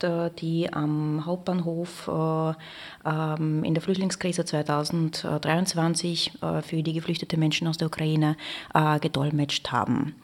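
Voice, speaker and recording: A woman with a Russian accent co-hosting a podcast, speaking haltingly with many filler sounds. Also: fairly low pitch, a little mellow. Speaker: podcast co-host, translation student. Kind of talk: hesitant podcast talk.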